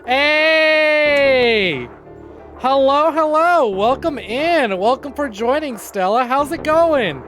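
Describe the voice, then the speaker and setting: A male streamer reading a visual novel aloud with dramatic, expressive narration. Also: extremely high pitch, slow pace. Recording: game music playing behind.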